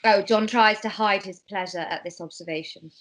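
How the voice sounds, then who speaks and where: Woman narrating script directions, mid-pitched. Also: moderate pace, fairly bright tone. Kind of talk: scripted narration in an amateur table read.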